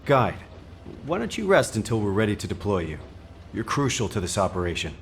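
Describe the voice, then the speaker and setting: An actor playing a decisive military commander in a video game, firm, authoritative and matter-of-fact. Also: male voice, lowish voice, sing-song, fairly quick, gravelly, a little hiss or hum. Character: decisive male military commander briefing his team. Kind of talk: scripted game dialogue. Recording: faint game ambience behind voice.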